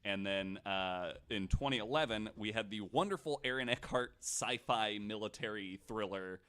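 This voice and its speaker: A man's voice: on the low side, moderate pace, sharp tone, smooth. A man telling a true story on a podcast in a casual, informal way, like a host talking among friends. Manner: informal, hedging, frequent "like".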